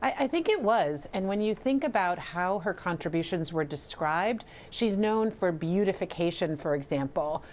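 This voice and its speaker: A woman's voice: lowish voice, expressive, moderate pace, few pauses. A woman filmmaker giving explanatory answers as a guest in an interview.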